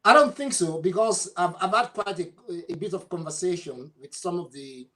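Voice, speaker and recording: Higher-voiced man on a panel. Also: moderate pace, pushed and bright, rough recording. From Africa, Nigeria. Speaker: clergyman on a panel. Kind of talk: panel discussion, recounting experience.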